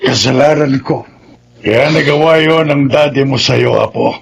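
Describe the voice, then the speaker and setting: A elderly grandfather in a Filipino radio drama. He speaks slowly and gently, full of remorse, in a mid-pitched voice thick with creak. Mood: remorseful, gentle. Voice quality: gravelly.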